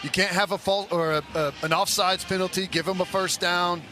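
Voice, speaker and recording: A man's voice: sits high, fairly even intonation, a little fast, music in the background. A man giving conversational football analysis as a color commentator in a stadium broadcast booth.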